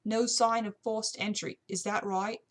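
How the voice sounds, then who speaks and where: A woman's voice: mid-range voice, little pitch movement, unhurried, lightly acted. A woman playing a formal male police officer questioning servants in a period story, with a put-on British accent. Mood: businesslike. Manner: formal, inquisitive.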